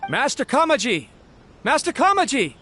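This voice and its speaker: An actor playing a flustered, deferential young soldier in a video game, polite and nervous. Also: male voice, very high-pitched, huge pitch swings, normal speed, over music, heavily acted. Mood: urgent calling.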